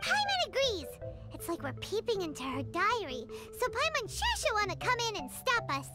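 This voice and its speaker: Squeaky, excitable little fairy girl. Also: moderate pace, over loud music, full character voice. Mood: chirpy, curious. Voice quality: squeaky, childlike. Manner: exclaims, refers to self in third person. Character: tiny excitable childlike fairy girl companion. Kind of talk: video game voice acting.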